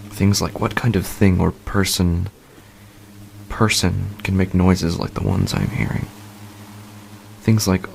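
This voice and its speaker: A man playing a frightened, sleepless husband in a horror story, narrating haltingly in a hushed, breathy, gravelly voice that drops toward whispers. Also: deep voice, a little hiss or hum, full character voice, rough recording. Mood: anxious. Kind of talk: scripted horror fiction narration, first person.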